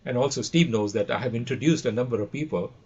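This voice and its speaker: A man's voice: medium pitch, fast talker, a little mellow, husky. A man talking enthusiastically in conversation as a guest, often repeating his phrases and telling anecdotes. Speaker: audio and radio trainer, podcast guest. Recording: dull, lo-fi call audio.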